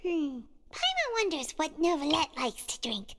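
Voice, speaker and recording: A woman voicing a small, childlike fairy companion in a video game, bubbly, curious, chatty and excitable. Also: sits high, a little slow, background noise, heavily acted. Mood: hesitant, curious. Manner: refers to self in third person, excitable. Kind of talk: video game character acting. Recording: loud music behind. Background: music.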